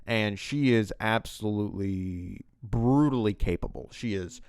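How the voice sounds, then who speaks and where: A man's voice: fairly low pitch, a little slow, darkish tone, clear, resonant tone, dry recording. A man hosting a video essay, explaining things in a loose, conversational and emphatic way. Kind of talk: casual, unscripted explanatory commentary on TV writing.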